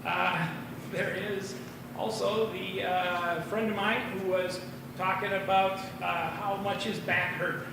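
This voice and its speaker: A middle-aged American man doing a rambling, halting stand-up comedy set at an open mic in a bar. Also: high voice, level tone. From Minnesota, United States. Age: 40s or older. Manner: rambling, halting delivery with tangents. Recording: very echoey, distant room recording, clear noise.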